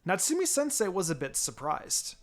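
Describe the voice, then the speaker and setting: A man's voice: high voice, sing-song, normal speed. A man reading a scripted first-person fan fiction story as its narrator. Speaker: story reader. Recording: music behind the voice.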